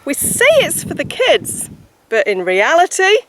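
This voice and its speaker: A woman's voice: high voice, very animated, a bit bright, a little hiss or hum, rough recording. A chatty woman from Scotland with a mixed British accent, not a Scottish one, who laughs easily in casual vlog talk. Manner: chatty and giggly, quick to laugh. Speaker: vlogger. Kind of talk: casual chat.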